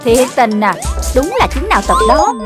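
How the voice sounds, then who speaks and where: Stern naggy cartoon dog mom, high voice, southern vietnamese accent, music in the back. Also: female voice, big pitch swings, normal speed. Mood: exasperated. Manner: nagging, stern. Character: exasperated, scolding mother dog. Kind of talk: children's cartoon dubbing. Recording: music behind the voice.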